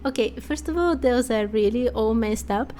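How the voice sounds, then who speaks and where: A woman's voice: on the high side, normal speed, mellow, slight background noise. A woman giving casual let's play commentary, thinking aloud and speculating as she goes.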